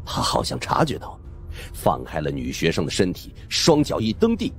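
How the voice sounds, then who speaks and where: A male audiobook narrator with a deep voice tells a suspense tale in the first person. His delivery is dramatic and extremely varied in pitch, over a clear music bed. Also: normal speed. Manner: animated, dramatic storytelling.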